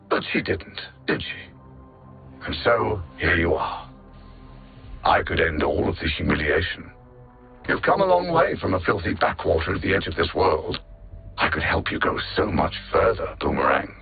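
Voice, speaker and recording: An actor playing a cold, arrogant alien machine-intellect supervillain in a video game, haughty and sardonic with deliberate theatrical enunciation, through a synthetic radio-like filter. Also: male voice, fairly low pitch, vocal fry, exaggerated voice. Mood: scornful, then tempting. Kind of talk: video game villain dialogue. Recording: narrow band-limited radio-like sound, music behind. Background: game music.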